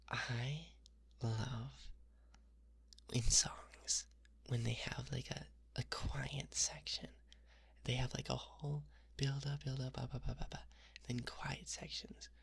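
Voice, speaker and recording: A woman's voice: very deep voice, vocal fry, slightly rough. A young woman whispering in a playful, rambling ASMR monologue, teasing the listener and repeating words over and over. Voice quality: whispering throughout. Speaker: ASMR creator.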